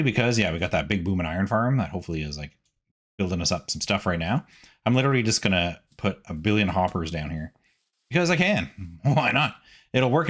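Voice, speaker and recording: A man hosting a gaming video, giving casual, chatty gameplay commentary and thinking out loud as he goes. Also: fairly low pitch.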